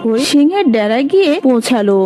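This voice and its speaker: A woman's voice: high voice, sing-song, fast, dark tone, soft background music. A woman narrating a scripted cartoon animal story in storybook style.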